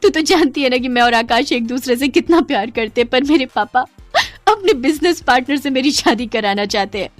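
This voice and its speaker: A woman's voice: high-pitched, animated, brisk, dry recording, faint music behind. A woman voicing a frightened, pleading young college student in a cartoon story. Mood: desperate. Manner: pleading, anxious.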